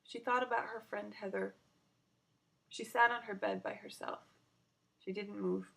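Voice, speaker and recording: A woman narrating a children's story aloud in an expressive storytelling style. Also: medium pitch, unhurried, gravelly, low-quality recording.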